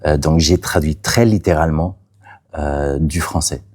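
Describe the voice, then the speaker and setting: Playwright, male, Catalan mother tongue; very deep mellow voice, halting and soft-spoken. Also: fairly even intonation, slight background noise. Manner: hesitant, many fillers and restarts. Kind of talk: interview about his play.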